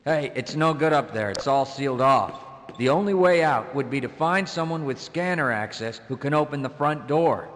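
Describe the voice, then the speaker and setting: A man's voice: mid-range voice, lively intonation, normal speed, fairly bright tone, a little hiss or hum, lightly acted. A man playing a helpful, curious security guard in a video game, speaking informally with an American accent. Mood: helpful, urgent. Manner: informal, colloquial. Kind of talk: video game character acting. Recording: long reverberant game-world echo.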